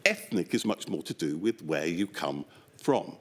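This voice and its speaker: Lively, low-voiced academic man testifying. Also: measured pace. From England. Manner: animated, discursive, with a wry humor and asides. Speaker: expert witness, academic psychologist. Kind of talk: formal inquiry testimony, explaining at length. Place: public inquiry hearing room.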